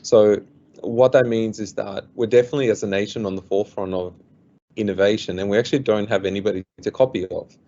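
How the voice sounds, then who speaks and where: A man with a fairly low, mellow voice explains things earnestly as a webinar panelist, often doubling back and repeating words. He speaks over a video call, and the sound is muffled and lo-fi. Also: fairly even intonation, quick pace. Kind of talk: business presentation. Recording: dull lo-fi online call audio.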